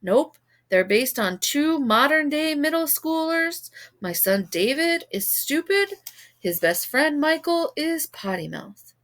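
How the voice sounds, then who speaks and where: A woman's voice: sits high, big pitch swings, takes it slowly, fairly bright tone, pitched above the performer's own voice, exaggerated voice. Woman playing a smug, boastful ex-dad. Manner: boastful, smirking. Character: pompous, smug ex-dad author bragging on TV.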